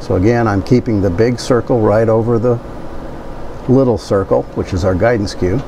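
A man's voice: lowish voice, normal speed, noisy background. A man in his fifties talking through a technical demonstration as an instructing pilot, in a conversational way.

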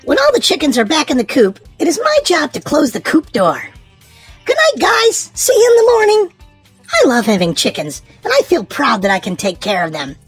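A woman reads a children's storybook aloud in an expressive, sing-song way, leaning on key words for young listeners. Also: high-pitched, normal speed, faint music behind.

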